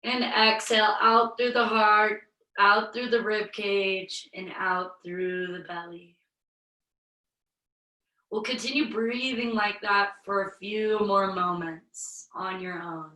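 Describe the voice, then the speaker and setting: Slow, soothing woman yoga instructor. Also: medium pitch, steady tone, pushed and bright, echoey room, far from the mic, poor audio. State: slight head cold, a bit scratchy. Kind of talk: guided yoga and breathing instruction. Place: home yoga space, virtual class.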